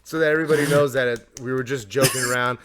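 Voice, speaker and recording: A man hosting a comedy podcast, loud and excitable, swearing and laughing through improvised bits. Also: mid-range voice, quick pace, over a noisy crowd. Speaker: podcast host. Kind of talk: improvised comedy sketch chat.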